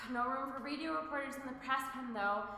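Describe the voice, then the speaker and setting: A woman's voice: high voice, brisk, sharp tone. A woman acting as a flustered, scatterbrained female field reporter in an amateur radio play, hesitant and rambling. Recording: very echoey bathroom, long reverb, faint noise.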